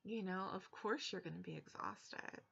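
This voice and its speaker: A woman's voice: medium pitch, moderate pace, creaky, a bit bright, husky. A young woman, talking frankly and with exasperation in a personal vlog from her bedroom. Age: young adult. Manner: frank, exasperated, blunt asides. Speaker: vlogger. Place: bedroom, indoors. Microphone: webcam at desk. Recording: dull lo-fi webcam audio.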